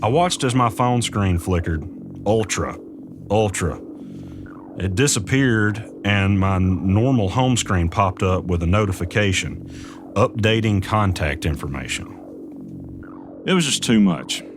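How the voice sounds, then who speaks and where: An actor playing an uneasy man who recounts eerie events in measured, suspenseful first-person audio drama narration. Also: on the low side, lively intonation, noisy background. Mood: ominous suspense. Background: music.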